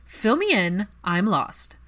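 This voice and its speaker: A woman voicing a curious, supportive young woman in an audiobook, with a gentle but direct manner. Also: mid-range voice, big pitch swings, slow pace, voice pushed up.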